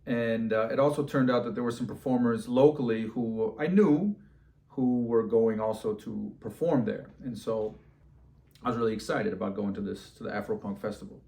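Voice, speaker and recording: A man telling a story in a casual, slangy, animated way, acting out bits of dialogue as he goes. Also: medium pitch, moderate pace. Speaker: storyteller and host.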